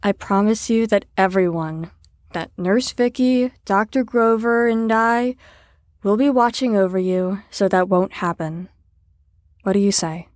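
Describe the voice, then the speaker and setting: A woman's voice: mid-range voice, fairly even intonation, a little slow, no room sound, studio quality, close to an everyday voice. A woman in an audio drama playing an earnest, caring facility staffer who talks in a reassuring, sincere, slightly rambling way.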